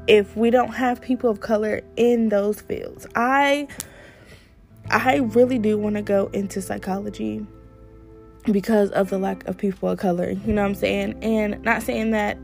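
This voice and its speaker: A young woman hosting her own podcast, talking candidly and casually with many fillers, her voice a little hoarse. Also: mid-range voice, darkish tone. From United States. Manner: chatty, candid, lots of fillers. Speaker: podcast host. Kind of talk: casual solo talk.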